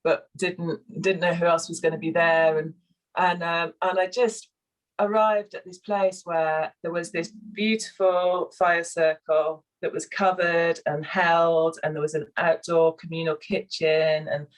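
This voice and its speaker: A soft-spoken woman with a slightly croaky voice, co-facilitating a video call, guiding a relaxation and sharing with hesitant pauses and fillers. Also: on the low side, level tone, rough recording. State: hoarse, croaky. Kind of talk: guided relaxation and casual sharing.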